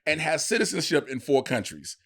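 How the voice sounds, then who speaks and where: A man's voice: a little high, sing-song, a little fast, fairly bright tone. An American man co-hosting a casual podcast, making his points in a slangy, argumentative and profane way. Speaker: podcast co-host.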